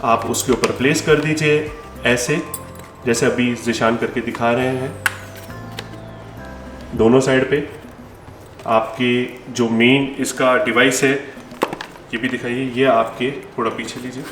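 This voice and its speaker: Male Indian tutorial presenter, mid-pitched, conversational and instructive, roomy echo, music clearly behind. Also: steady tone, slow, pauses often. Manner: instructive, repeats key points, mixes in English terms. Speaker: store presenter demonstrating helmet intercom installation. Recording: echoey room with music behind.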